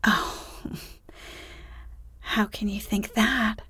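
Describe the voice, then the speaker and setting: A woman voicing a cheeky, bold young hero in a playful, breathy whisper. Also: mid-range voice, steady tone, drawn-out words, bright, forceful tone, no room sound, exaggerated voice. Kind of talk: whispered storybook reading.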